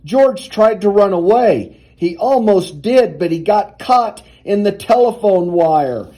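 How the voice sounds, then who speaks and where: A man reading a children's picture book aloud in an animated, deliberate storytelling manner. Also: high voice, rough recording.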